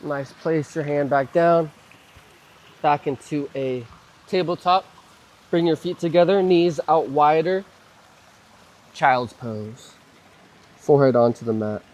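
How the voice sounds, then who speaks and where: A man leading a guided mobility flow, calmly cueing each move and breath, his voice slightly hoarse as he recovers from a cough. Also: fairly high pitch, slow pace, pauses often, rough recording. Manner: calm, gentle cueing of moves and breaths. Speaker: mobility and stretching instructor.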